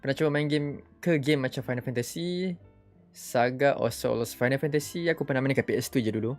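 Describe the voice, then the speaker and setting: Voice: mid-range voice, quick pace, faint music behind. A streamer with a Malaysian accent, chatting casually over gameplay and switching between two languages. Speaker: game streamer.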